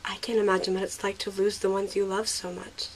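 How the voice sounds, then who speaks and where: A woman's voice: mid-range voice, level tone, brisk, lightly acted, rough recording. A woman voicing a guarded but kind young woman, tentative and sincere, in an amateur audio drama. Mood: apologetic, sympathetic. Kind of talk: fan audio drama dialogue.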